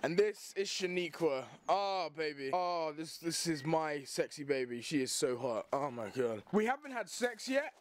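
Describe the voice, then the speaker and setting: A man's voice: fairly high pitch, expressive, measured pace, few pauses. A man joking and showing off in a vlog clip. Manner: joking, showing off, builds to shouting. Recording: distant clip with faint noise.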